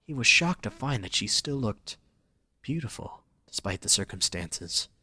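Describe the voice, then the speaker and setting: A man's voice: mid-range voice, very animated, measured pace, sharp tone, slightly rough, no echo. A man narrating a scripted romantic story for an audio drama, reading dramatically.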